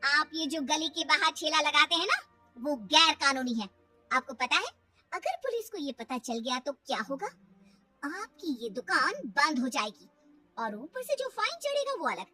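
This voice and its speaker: Young daughter-in-law for a moral cartoon female performer: bright, animated, sharp and assertive. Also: sits high, moderate pace, distant mic, soft background music, full character voice. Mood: firm, warning. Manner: firm, reasoning, confrontational. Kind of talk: Hindi moral-story cartoon acting.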